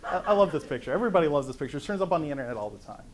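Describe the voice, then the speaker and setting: Middle-aged man, conference talk, slightly high voice, faint room noise. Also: rapid-fire. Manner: conversational, lively, asks the audience "right?". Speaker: conference speaker. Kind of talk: lecture on economics.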